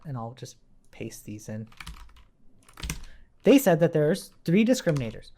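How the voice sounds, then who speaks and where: A woman's voice: deep voice, a little mellow, slight background noise. A woman giving an informal live coding tutorial, explaining step by step as an instructor.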